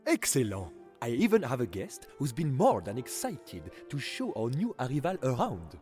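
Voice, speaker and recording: A man's voice: mid-range voice, huge pitch swings, normal speed, a little mellow, soft background music, pitched above the performer's own voice. A man voicing a polite, effusive French hotel concierge with a French accent in an audiobook. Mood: courteous, welcoming. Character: courteous, eager French male hotel concierge. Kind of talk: audiobook character dialogue.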